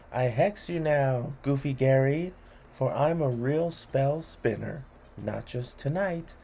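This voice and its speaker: A man's voice: a little high, dark tone, a little hiss or hum. Man reading as indignant, dramatic young spell-caster. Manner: dramatic, emphatic, self-assured. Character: indignant, imaginative child casting hexes in storybook rhyme.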